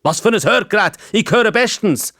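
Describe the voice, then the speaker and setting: A man voicing a quirky old-fashioned gentleman in a children's story, polite but cheeky and inquisitive, with a Swiss accent. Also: high voice, lively intonation, normal speed, dry recording, clean studio sound, pitched above the performer's own voice.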